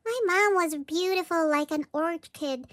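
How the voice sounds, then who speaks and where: A woman voicing an earnest, excitable little girl in a children's storybook read-aloud. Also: extremely high pitch, a little slow, no room sound, studio quality, recorded from a distance, voice pushed up. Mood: wistful.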